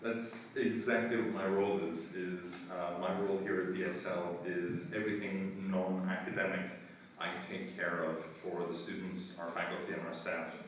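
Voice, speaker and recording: Low-voiced man, echoey and distant. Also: flat delivery. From United States. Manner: earnest, measured, with frequent filler pauses. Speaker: school administrator. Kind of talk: interview answers. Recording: roomy echo, distant mic, faint noise.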